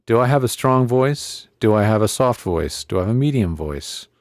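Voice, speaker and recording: Man, fairly low voice, casual tutorial delivery, conversational, close dry studio mic. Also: lively intonation, normal speed, darkish tone, no echo. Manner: conversational, thinking aloud while testing. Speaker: presenter of an audio gear tutorial. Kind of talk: casual hands-on product tutorial. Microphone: close studio podcast microphone.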